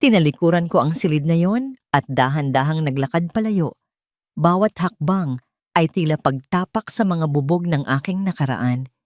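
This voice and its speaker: A woman's voice: low voice, lively intonation, normal speed, darkish tone, no room sound, clean studio sound. A woman narrating a scripted first-person drama story in an emotive, solemn manner.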